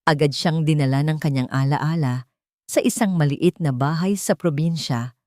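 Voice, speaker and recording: A woman narrating a scripted audio drama in a solemn, deliberate, dramatic storytelling style. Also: sits low, warm tone, no echo, studio quality.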